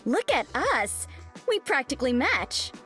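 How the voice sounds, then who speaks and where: A young video game heroine. She sounds casual and friendly in a fairly high voice, with faint music behind. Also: sing-song, a little slow, bright, forceful tone, full character voice. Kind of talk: video game character dialogue.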